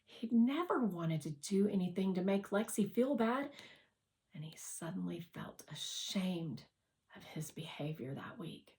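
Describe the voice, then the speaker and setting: A woman narrating a children's novel aloud as a scripted, expressive read-aloud. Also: fairly low pitch, measured pace. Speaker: audiobook reader.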